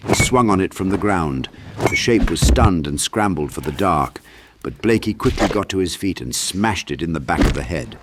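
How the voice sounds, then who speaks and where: A man with a very low voice narrates a dark horror audiobook. His delivery is dramatic and scripted, and there is clear noise behind the voice.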